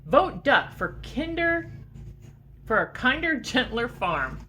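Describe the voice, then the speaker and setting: A woman's voice: high voice, big pitch swings, takes it slowly, pushed and bright, a little hiss or hum, pitched above the performer's own voice, full character voice. A woman voicing a disgruntled, ambitious male farm duck from a children's storybook, in a plaintive, declaring manner. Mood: campaigning.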